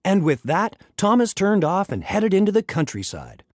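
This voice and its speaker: A man reading a children's story aloud in an expressive, dramatic storytelling manner. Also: high-pitched, moderate pace, dry recording, studio quality. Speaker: storybook reader.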